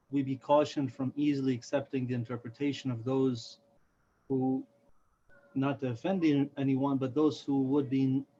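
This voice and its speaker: A man moderating, formally relaying questions with polite, careful, deliberate phrasing, heard on a muffled, noisy recording. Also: medium pitch, dark tone.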